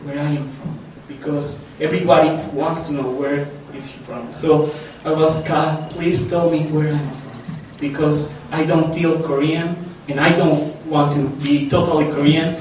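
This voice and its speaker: A young man from Colombia, giving a personal testimony to a gathering in a nervous, halting, self-deprecating way. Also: a little high, flat delivery, darkish tone. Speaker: church testimony speaker. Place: reverberant room, speaking to a gathering. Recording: echoey room, distant mic, faint noise.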